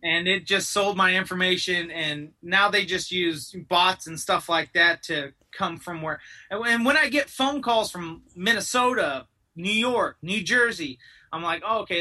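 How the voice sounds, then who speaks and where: A man's voice: sits high, unhurried, bright, forceful tone, recorded from a distance. A man talking as a podcast guest in loose, rambling casual chat, full of restarts and the odd curse. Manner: rambling, lots of restarts and swearing.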